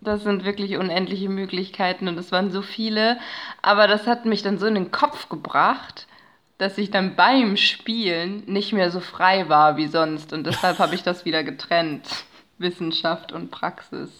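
A woman's voice: mid-range voice, steady tone, moderate pace, a bit bright. A woman giving casual, explanatory interview answers as a podcast guest, thinking aloud and searching for words as she goes. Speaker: podcast guest, narratology researcher and improv performer.

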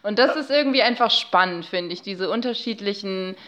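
A woman's voice: medium pitch, brisk, fairly bright tone. A woman speaking as a guest expert in a casual podcast chat, explaining animatedly and often restarting her thoughts.

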